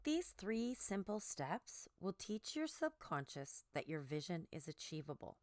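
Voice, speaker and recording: A woman reading a book aloud as scripted narration, with measured, deliberate phrasing. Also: mid-range voice, no room sound, studio quality.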